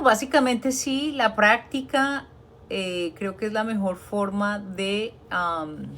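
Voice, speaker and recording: A woman's voice: lowish voice, creaky, slight background noise. A Colombian woman, a nurse working abroad, giving earnest, encouraging advice in a casual live stream chat, often restarting her sentences.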